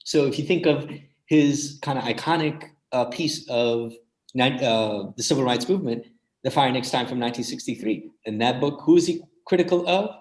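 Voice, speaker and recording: A man's voice: mid-range voice, moderate pace. Emphatic male scholar in an echoey room. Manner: emphatic, often tags points with "right?". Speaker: guest scholar. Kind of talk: thoughtful, emphatic spoken commentary in a panel talk.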